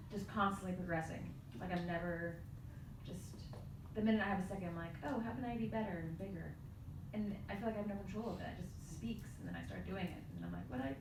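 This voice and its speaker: A young woman panelist talking with energy and a self-mocking tone, laughing easily. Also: on the low side. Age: young adult. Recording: distant echoey room with noise.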